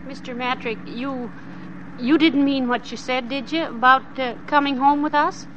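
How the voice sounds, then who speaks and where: A woman's voice: sits high, steady tone, normal speed, fairly bright tone. An American actress playing an anxious but feisty wife and mother in an old-time radio drama. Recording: dull, noisy old radio recording.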